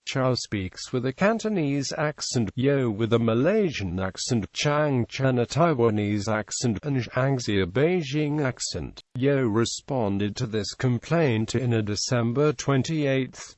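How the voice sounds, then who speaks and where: A man's voice reading an encyclopedia article aloud in a steady, even, synthetic-sounding way. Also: medium pitch, no room sound. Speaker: narrator.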